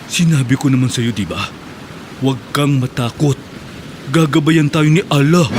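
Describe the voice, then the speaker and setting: An actor with a Filipino accent playing a secretive, devout militant in a radio drama, guarded and insistent. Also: male voice, medium pitch, normal speed, lightly acted. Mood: firm, insistent. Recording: clear background noise.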